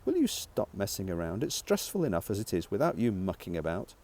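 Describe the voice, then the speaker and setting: A man's voice: low voice, huge pitch swings, fairly quick, darkish tone, full character voice. A man voicing an irritable, stressed man who scolds a teammate in an exasperated way, in an audiobook. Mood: tense, annoyed. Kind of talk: audiobook character dialogue.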